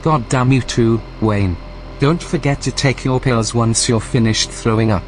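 A man performs a male AI running a remote station in an audio drama. The voice is medium in pitch, formal and measured, with veiled menace under its politeness. Faint music sits behind it. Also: close to an everyday voice. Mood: smug, courteous.

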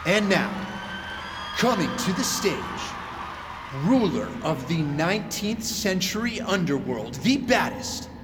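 An actor playing a showy, flippant fan-convention host in an audio drama, glib and full of announcer hype. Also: male voice, high voice, very animated, slow, background noise, exaggerated voice. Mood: hyped. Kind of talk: audio drama acting as stage emcee.

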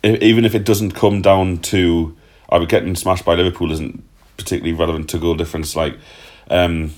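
A man's voice: low voice, level tone, fairly quick, a little mellow. A man hosting a casual football podcast, reading out listener questions and answering them in a chatty, loose way with the odd swear. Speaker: podcast host.

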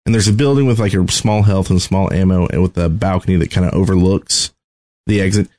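A man's voice: low-pitched, fairly quick, darkish tone. A man co-hosting a podcast, chatting casually about video game tactics. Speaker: podcast co-host.

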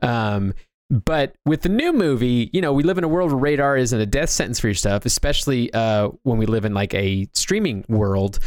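A man's voice: mid-range voice, lively intonation, normal speed, no room sound. A man co-hosting a casual discussion, rambling and often restarting his thoughts as he goes. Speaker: podcast co-host. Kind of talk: casual discussion of movies and games.

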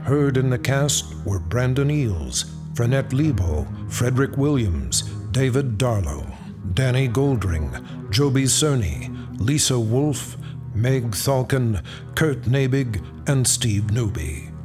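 A man's voice: mid-range voice, expressive, takes it slowly, music in the background. A man reading scripted credits and announcements as a radio show announcer.

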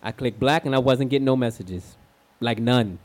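A man's voice: medium pitch, moderate pace, darkish tone, lightly acted. An actor playing a candid, streetwise young male escort as a radio show guest, with an American accent and slangy, confiding talk. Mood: candid, matter-of-fact. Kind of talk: radio play acting, slangy conversational monologue.

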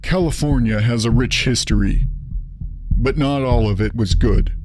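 A man playing a reflective investigator who narrates a grim past in a measured, solemn way. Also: mid-range voice, natural voice. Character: reflective male detective recounting a grim past. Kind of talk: scripted narration.

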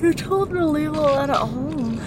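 Drowsy, playful female travel companion for audio drama, high voice, unhurried, over loud plane rumble. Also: animated. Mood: drowsy, teasing. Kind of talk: naturalistic audio drama acting. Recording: loud rumbling airplane cabin noise.

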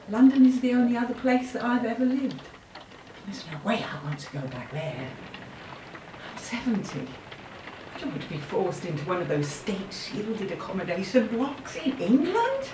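A woman's voice: mid-range voice, big pitch swings, moderate pace, natural voice. A woman acting an anxious, plaintive seventy-year-old Londoner in an audio play. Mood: anxious, pleading. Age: 70s. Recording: roomy, distant, faint background noise.

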